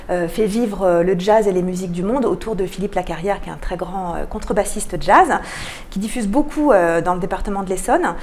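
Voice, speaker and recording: A woman speaks as a guest in a radio interview at a middling pitch. She explains things in an articulate way, with enthusiasm for what she presents. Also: a little mellow, a little hiss or hum. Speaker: guest, cultural programme manager.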